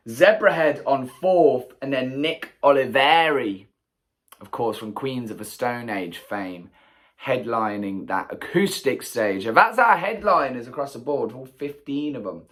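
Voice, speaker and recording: Male vlogger from England, croaky voice, chatty and rambling, mid-pitched. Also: a bit bright. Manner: chatty, enthusiastic, rambling asides. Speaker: festival vlogger. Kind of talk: casual solo recap to camera.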